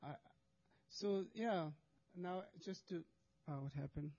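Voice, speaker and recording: Halting man giving a presentation. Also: high-pitched, mellow, highs cut off. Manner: halting, many restarts and fillers, non-native English. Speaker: monastic speaker presenting charity work. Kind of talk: presentation to an audience with video.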